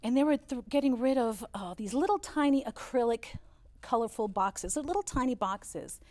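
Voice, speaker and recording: A woman's voice: a little high, expressive, moderate pace. German-born woman telling family stories. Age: 50s. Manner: storytelling, warm recollection with frequent "uh" fillers. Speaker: interview guest, business owner. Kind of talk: relaxed interview conversation.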